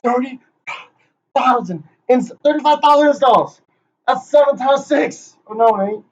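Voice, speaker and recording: A man's voice: extremely high pitch, normal speed. A man rehearsing a playful conference presentation, joking, hyping up and teasing the people he names. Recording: dull lo-fi recording.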